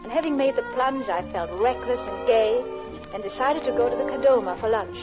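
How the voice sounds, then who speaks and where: An actress playing an earnest, polite, middle-class English wife in an old-time radio drama, with a genteel, hesitant manner. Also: female voice, a little high, fairly quick, lightly acted. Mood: wistful, confessional. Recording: muffled vintage radio recording with music.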